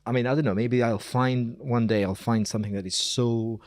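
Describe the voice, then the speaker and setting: A man's voice: medium pitch, fairly even intonation, normal speed, a little mellow, no echo, studio quality. A man, a professor talking casually and digressively as an interview guest. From Greece. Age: 40s. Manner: informal, digressive, emphatic repetition.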